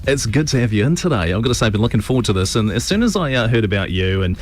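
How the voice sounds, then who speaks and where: Fast-talking New Zealand radio host. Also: male voice, mid-range voice, lively intonation. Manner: upbeat, chatty and quick. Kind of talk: breakfast radio interview. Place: radio studio. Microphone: studio microphone.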